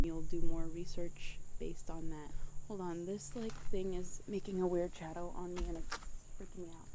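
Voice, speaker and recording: Young woman, mellow low-ish voice, chatty and giggly, casual vlog at home, faint hum behind. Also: poor audio. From United States. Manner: chatty, giggly, rambling with fillers. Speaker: singer and channel host.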